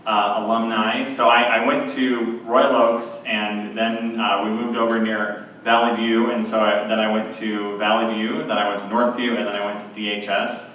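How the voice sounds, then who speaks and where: A man's voice: fairly low pitch, level tone, moderate pace, few pauses, fairly bright tone. A man in his forties, a school board member, speaking hesitantly with many fillers at a public meeting. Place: meeting room. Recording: echoey room, distant mic, faint hiss.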